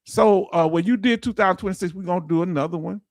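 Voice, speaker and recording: A man in his late fifties whose voice sits high for a man, heard dry and close with no room sound. He teaches an online audience in relaxed, folksy talk. He keeps asking viewers the same questions until they answer. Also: quick pace, dry recording. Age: 50s. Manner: quizzes viewers with repeated questions. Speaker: veteran benefits streamer. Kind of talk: livestream lesson answering chat.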